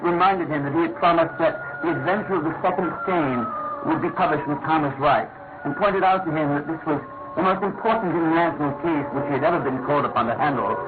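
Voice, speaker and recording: A narrow, phone-like old radio recording with faint noise carries an actor as a British gentleman narrator. He is genial and discreet, telling a detective story in formal, measured phrases. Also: on the high side, close to an everyday voice. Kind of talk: classic radio drama narration.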